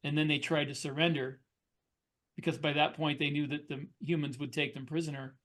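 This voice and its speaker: Guy author on a podcast, voice a bit high, casual, kinda halting as he thinks it through. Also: little pitch movement, a bit bright. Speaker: podcast co-host and science fiction author. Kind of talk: casual discussion of fiction writing.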